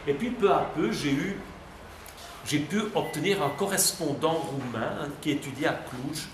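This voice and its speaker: A man's voice: a little high, moderate pace. A man talking freely as a visiting guest before a class, chatty and hesitant, full of fillers and restarts. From Belgium. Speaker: visiting guest answering students. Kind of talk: relaxed spontaneous talk. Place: high school classroom. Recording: echoey classroom with background noise.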